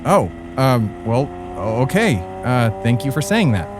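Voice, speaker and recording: A man's voice: medium pitch, big pitch swings, unhurried, background noise. A man co-starring in a comedy audio drama, talking casually and cursing in exasperation. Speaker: co-host, co-star.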